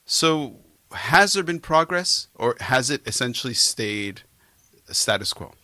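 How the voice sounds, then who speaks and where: A man's voice: mid-range voice, lively intonation, slow, sharp tone, dry recording. A middle-aged man hosting a podcast, interviewing in a casual, informal and playful conversational way.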